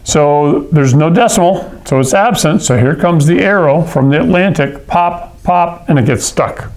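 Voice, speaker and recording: Man from Hawaii, classroom lecturer, unhurried delivery, pitched a little high, patient explainer. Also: darkish tone, rough recording. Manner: patient, checks in with "okay?" and "all right?". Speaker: science teacher.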